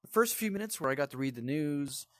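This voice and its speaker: A man's voice: fairly high pitch, lively intonation, fast, fairly bright tone, dry recording, studio quality. A man giving a casual, off-the-cuff game review as the channel's host, in a blunt, grumbling, exasperated manner.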